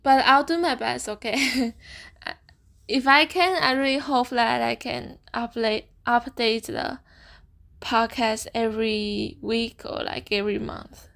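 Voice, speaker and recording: Young female student vlogger, Chinese first language; unhurried, halting delivery with a lightly raised pitch. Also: steady tone, gravelly, a bit bright. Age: young adult. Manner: hesitant, halting non-native English, frequent "okay?" tags; slow, thoughtful, giggles often. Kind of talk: casual chat to camera.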